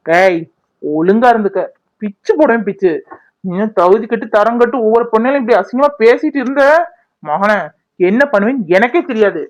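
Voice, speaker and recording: A woman's voice: on the high side, normal speed, muffled recording, close to an everyday voice. A woman acting a fierce, scolding village woman in a drama, with a confrontational, threatening manner. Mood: angry scolding. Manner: confrontational, threatening, rhetorical questions.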